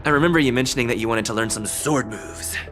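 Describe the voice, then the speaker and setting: A man's voice: medium pitch, fast, a bit bright, soft background music, natural voice. An actor playing an encouraging young male companion in an anime game.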